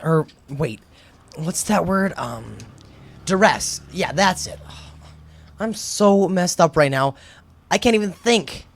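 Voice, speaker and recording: A woman performs a conscientious, somewhat muddled school-age kid in radio drama. The voice is low, wary and plain-spoken, often hesitant. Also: animated, slow pace, gravelly, heavily acted. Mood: confused.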